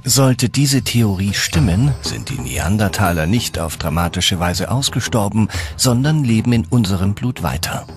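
A man's voice: lowish voice, expressive, normal speed, hardly any pauses. A man reading scripted documentary narration as the narrator. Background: background music.

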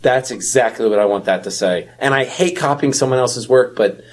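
A man with a husky voice in casual conversation as a podcast co-host. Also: mid-range voice, a little fast. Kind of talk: casual chat.

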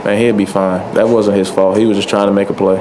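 A young man speaks into a table microphone at a postgame press conference. His voice sits low and stays flat, and he talks in a relaxed, soft-spoken way with many fillers. Steady hiss runs under the room. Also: fast. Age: young adult. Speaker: college football player. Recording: noisy press room audio.

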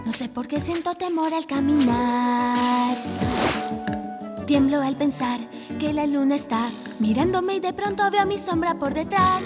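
A woman's voice: sits high, measured pace. A woman voicing a fearful little girl, singing in the style of a children's cartoon musical. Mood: anxious, wistful. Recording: song with prominent backing music.

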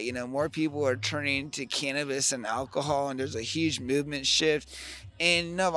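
A man's voice: fairly high pitch, fairly bright tone. An American man interviewing someone outdoors in a casual, chatty way on a handheld microphone. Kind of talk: casual chat. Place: outdoors on a festival lawn. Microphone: handheld microphone, face covered by cloth.

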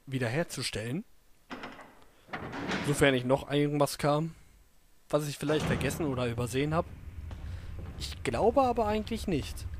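A man's voice: on the high side, a little mellow, slight background noise. A man giving casual, jokey commentary while playing a video game, talking to the characters on screen. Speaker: let's play commentator. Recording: game music behind the voice. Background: game music.

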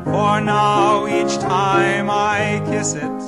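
A woman singing a slow, comic love song. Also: mid-range voice, completely flat delivery. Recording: piano music accompanying the voice.

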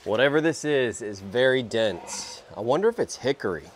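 A young man hosting a casual workshop vlog, talking in a relaxed way as he works at a sawmill under an open-sided shed. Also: medium pitch, normal speed, noisy background. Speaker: sawmill channel host.

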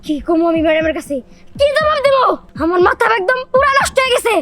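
A woman's voice: very high-pitched, big pitch swings, fast talker, over music. A woman voicing a hot-tempered, violent henchwoman in a cartoon drama. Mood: agitated, angry.

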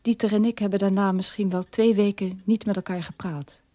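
A woman's voice: medium pitch, fairly even intonation, brisk, warm tone, no echo, close to an everyday voice. An actress playing a troubled middle-aged mother telling her family's story in a radio play monologue. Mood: subdued, shaken.

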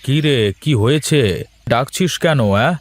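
Man acting a slow, grumbling village husband. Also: medium pitch, expressive. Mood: haggling. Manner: drawling, grumbling, interjection-heavy. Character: lazy, grumbling, slyly teasing village husband. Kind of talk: Bengali folk-tale cartoon dubbing.

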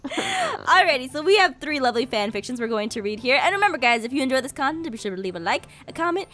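A theatrical, excitable woman hosting and reading a story aloud amid playful banter. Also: high voice, fast. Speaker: host reading fan fiction.

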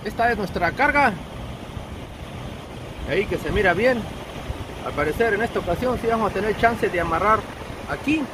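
A Mexican man with a high-pitched voice talks in a casual vlog style. He is chummy and slangy, like a trucker addressing his pals. Clear wind and engine noise sits behind his words. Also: animated, normal speed, long pauses. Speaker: truck driver and vlogger. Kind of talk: casual vlog narration to camera.

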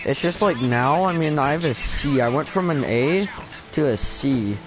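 A deep-voiced teenage boy chatting casually with a friend while playing a game, laid-back and swearing a lot. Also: lively intonation, normal speed, dull audio. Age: teen. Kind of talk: casual gaming chat.

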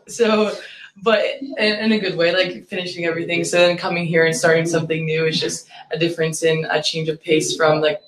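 A woman's voice: lowish voice, fairly bright tone, slight background noise. A young woman from the United States, a university student intern, talking earnestly with many fillers. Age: 20s. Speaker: videography intern. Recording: echoey room, faint ambience.

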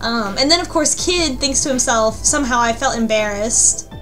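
Podcast host, female, higher-pitched, joking recap style, music playing behind. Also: moderate pace, fairly bright tone, poor audio. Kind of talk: casual group discussion of a game.

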